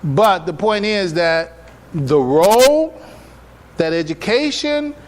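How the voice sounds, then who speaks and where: An American man giving an informal religious lecture to a congregation as an imam, conversational and folksy, often checking in with rhetorical questions, recorded live in a noisy room. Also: sits high. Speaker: imam giving a public address and taking questions. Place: live gathering at a mosque, speaking to attendees.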